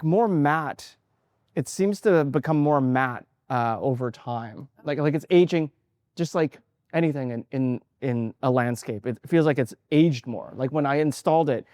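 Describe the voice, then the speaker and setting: A man's voice: mid-range voice, darkish tone, no echo, studio quality. A man in his forties who grew up in Canada, an artist talking about his work in an interview, thoughtful and hesitant, with many fillers and restarts. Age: 40s.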